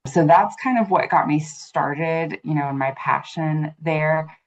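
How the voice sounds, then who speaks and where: A woman in her thirties answering as an interview guest, earnest, fluent and measured. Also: low-pitched, a little hiss or hum. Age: 30s. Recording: muffled lo-fi audio.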